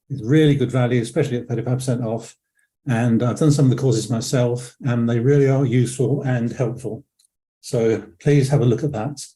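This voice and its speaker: An older man from England, croaky from a cold, hosting a webinar in a rambling way with many ums and self-corrections over a video call. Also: mid-range voice, mellow. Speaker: webinar presenter. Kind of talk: relaxed webinar talk.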